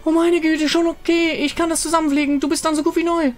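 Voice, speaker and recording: A man's voice: very high voice, little pitch movement, fast, voice pushed up. A man voicing a sweet, naive, childlike girl from a fantasy game, chirpy and innocent. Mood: worried, sweet.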